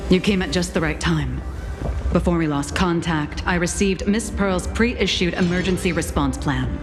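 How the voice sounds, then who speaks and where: A woman voices a composed game commander in a crisis. Her low voice is formal and authoritative, carried on a long reverb with music behind. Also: normal speed, natural voice. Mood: grave, composed. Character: composed, grave female commander briefing amid crisis. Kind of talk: video game character acting.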